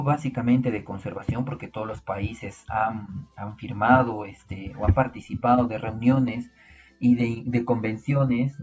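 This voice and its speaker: A Peruvian man lectures his students in a slightly husky voice, walking them through a lesson and tossing out questions. The recording sounds dull and lo-fi, with faint music underneath. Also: medium pitch. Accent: Peruvian Spanish. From Peru. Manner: explanatory, asks rhetorical questions to students. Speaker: biology teacher. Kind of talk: online class lecture.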